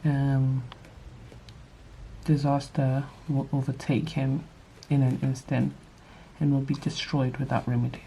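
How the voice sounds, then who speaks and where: A young woman with a nasal, allergy-stuffed voice, reading scripture aloud and adding quick casual asides in a Bible study vlog. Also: extremely low pitch, little pitch movement, gravelly, warm tone, slight background noise, poor audio. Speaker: vlogger leading a Bible study.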